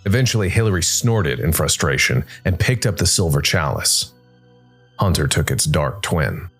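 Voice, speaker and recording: A man hosting and narrating a scripted story podcast in a measured way, with dramatic pauses. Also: rumbling low, lively intonation, husky. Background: soft music bed.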